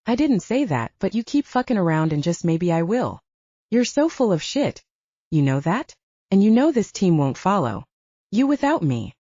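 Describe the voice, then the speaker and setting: A woman's voice: medium pitch, big pitch swings, moderate pace, warm tone, no echo, lo-fi sound. A woman voicing a hot-headed, defiant male werewolf soldier in an audiobook, brash and foul-mouthed. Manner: brash, profane.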